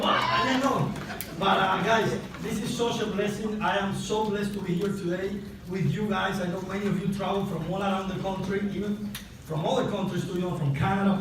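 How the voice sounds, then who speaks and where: A man from Cuba, a Spanish speaker, giving an excited, chatty motivational testimonial while out of breath. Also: high-pitched. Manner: excited, chatty, addresses crowd often. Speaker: testimonial speaker at a sales convention. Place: large event hall on stage. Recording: echoey hall, distant mic, noisy.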